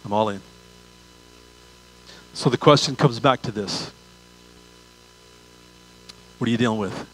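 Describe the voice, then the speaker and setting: A man's voice: fairly low pitch, a little slow, long pauses, fairly bright tone, slight background noise, low-quality recording. An older man preaches as a pastor, talking conversationally and repeating phrases and rhetorical questions for emphasis. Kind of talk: sermon. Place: large church auditorium stage.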